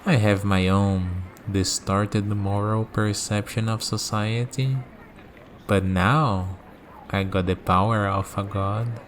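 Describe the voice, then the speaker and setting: A man playing a calm, cynical villain with godlike power in an ASMR roleplay, speaking in a deliberate, measured way with dramatic pauses. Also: lowish voice, a little hiss or hum. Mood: cold, contemptuous.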